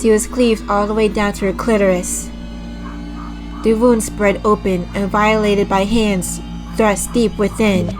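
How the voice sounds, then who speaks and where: A woman streamer reading a visual novel aloud with a slightly raspy voice, restarting over stumbled words, clearing her throat often and breaking off into disgusted asides to her chat. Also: mid-range voice, steady tone, a little slow, rough recording. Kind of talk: slow dramatic read-aloud with casual asides to chat. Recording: music playing clearly behind the voice.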